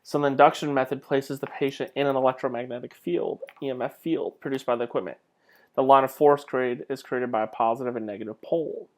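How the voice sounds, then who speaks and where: A man's voice: mid-range voice, steady tone, fast. A man giving an informal slide lecture in a conversational way, with rhetorical questions and self-deprecating asides. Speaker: lecturer, clinical instructor.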